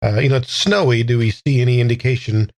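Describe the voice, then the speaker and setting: A man's voice: mid-range voice, quick pace, no room sound. Tentative man playing a tabletop game, dry sound. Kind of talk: casual in-character roleplay and table talk.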